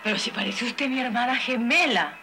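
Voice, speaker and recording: A woman's voice: on the high side, fairly quick, over music, lightly acted. An actress playing a young woman in a vintage telenovela. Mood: amazed.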